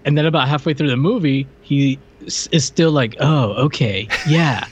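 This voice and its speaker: A man co-hosting a podcast, bantering casually about films with halting, wry jokes. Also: fairly high pitch, slightly rough. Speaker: podcast co-host. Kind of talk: casual movie review banter.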